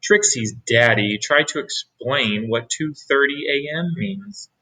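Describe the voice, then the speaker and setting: A man reading a picture book aloud as a teacher, pausing for asides to young listeners. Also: mid-range voice, lively intonation, bright, forceful tone, poor audio. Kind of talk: read-aloud storytelling.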